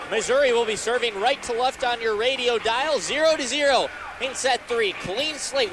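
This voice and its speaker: A man's voice: very high-pitched, noisy background. A young man calling live volleyball play-by-play as a radio announcer.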